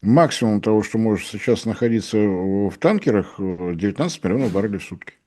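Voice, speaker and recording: A man's voice: fairly low pitch, brisk, warm tone. A middle-aged man giving sarcastic, analytical commentary as an energy analyst guest, with ironic asides and acted-out dialogues. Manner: ironic, dryly mocking, acts out dialogues.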